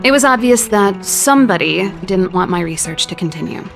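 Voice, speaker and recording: Wry young scientist over loud music. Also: female voice, medium pitch, lively intonation, fairly quick, vocal fry. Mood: explanatory. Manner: reflective, wry, articulate storytelling. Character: wry, candid young woman, exiled scientist turned musician. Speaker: voice actor in a video game. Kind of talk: scripted dramatic game dialogue. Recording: loud background music under the voice. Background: game cutscene music.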